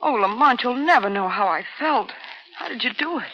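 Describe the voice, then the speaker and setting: A woman's voice: fairly high pitch, expressive, a little fast, lightly acted. A woman in a 1940s radio drama playing a refined, anxious and affectionate heroine with polished, emotional delivery. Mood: tender, worried. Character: refined, anxious, affectionate lady companion of the hero. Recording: muffled, noisy 1940s radio recording.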